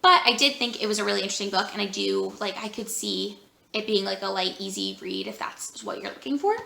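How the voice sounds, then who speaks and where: Chatty woman with a gravelly voice. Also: mid-range voice, fairly quick, sharp tone, reverberant. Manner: chatty, rambling with many fillers. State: losing her voice. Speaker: book vlogger. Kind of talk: casual vlog chat.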